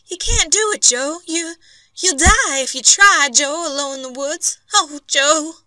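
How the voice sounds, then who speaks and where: A woman voicing Nan, a frail, hopeful young frontier wife, pleading and soft. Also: high-pitched, animated, unhurried, no room sound, pitched above the performer's own voice, full character voice.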